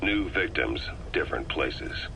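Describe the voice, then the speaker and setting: Hard-nosed soldier questioning someone, terse, skeptical, deep forceful tone, game drama, faint background noise. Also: male voice, lively intonation, unhurried, creaky, exaggerated voice, low-quality recording. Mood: probing, somber. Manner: terse, probing, matter-of-fact. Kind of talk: dramatic video game dialogue.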